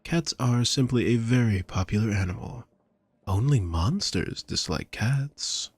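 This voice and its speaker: A man playing a doting, courtly vampire shopkeeper in an intimate audio roleplay, with a husky voice and gentle, flowery, formal speech addressed to the listener. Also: medium pitch, lively intonation, unhurried, no room sound. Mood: smooth, teasing.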